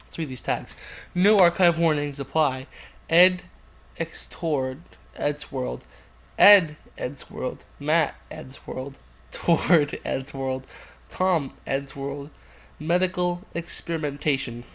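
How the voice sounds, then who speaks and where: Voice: mid-range voice, slow, a bit bright. A host casually reading fan fiction aloud and rambling off into irreverent, giggly side comments. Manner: giggly, irreverent, easily sidetracked.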